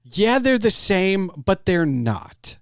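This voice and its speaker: A man hosting a podcast, chatting casually in an animated, rambling storytelling way. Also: sits high, slow pace, smooth, no echo, clean studio sound. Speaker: podcast host interviewing a guest. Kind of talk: casual conversational chat.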